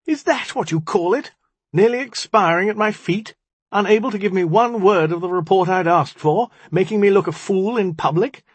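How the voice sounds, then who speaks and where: A man's voice: a little high, big pitch swings, moderate pace, breathy edge, no echo, pitched above the performer's own voice. A man voicing a bitter, self-pitying young magician, haughty and accusing, as audiobook dialogue. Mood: scornful.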